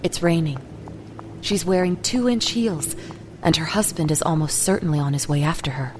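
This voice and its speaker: A woman's voice: sits low, moderate pace, darkish tone, a little hiss or hum, rough recording. A woman reading scripted, dramatic fiction narration in the present tense, with music behind her. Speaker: story narrator.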